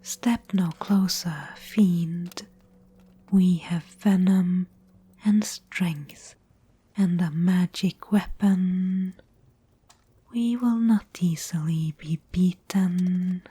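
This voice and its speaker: A woman playing a mysterious, protective fantasy guide in a whispered ASMR roleplay, breathy, measured and solemn. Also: lowish voice, fairly even intonation, warm tone, heavily acted. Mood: guarded, defiant.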